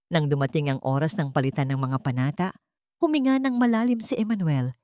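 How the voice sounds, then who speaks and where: A woman's voice: low voice, a little fast, a little mellow, no echo, clean studio sound. A woman reading a scripted dramatic love story as its narrator.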